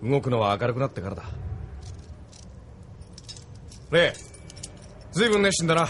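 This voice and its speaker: Cocky, animated young punk pilot. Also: male voice, mid-range voice, very fast, slight background noise. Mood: nonchalant. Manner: coarse, slangy, offhand speech. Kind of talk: anime audio drama acting.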